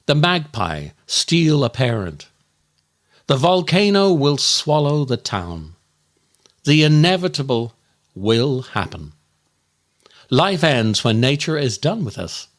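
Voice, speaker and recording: A male comedian narrates a scripted story at an unhurried pace. His intonation is lively and wide-ranging, and he lends the dark humour a deliberate, theatrical weight. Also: mid-range voice. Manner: dramatic, deliberate, darkly comic delivery. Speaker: comedian and podcast host. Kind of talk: scripted comic monologue storytelling.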